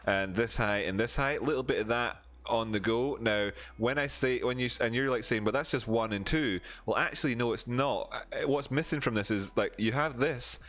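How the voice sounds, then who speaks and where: A man's voice: medium pitch, moderate pace, few pauses, a bit bright. A man with an Edinburgh Scottish accent, chatting casually on a live stream, answering chat and explaining charts with lots of fillers and restarts. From Scotland. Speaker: crypto streamer.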